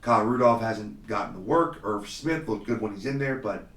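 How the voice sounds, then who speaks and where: Male podcast host, slightly hoarse, low-ish voice, casual and confident with lots of fillers. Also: moderate pace, a bit bright, roomy sound, distant mic. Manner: confident, conversational, many fillers. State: slightly hoarse, under the weather. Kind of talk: solo fantasy football podcast talk.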